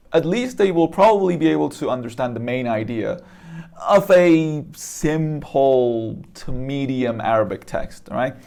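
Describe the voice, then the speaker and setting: A man's voice: a little high, creaky, a little mellow. Casual man explaining things. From Iran. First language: Persian. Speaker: presenter. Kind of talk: casual explanatory talk to viewers.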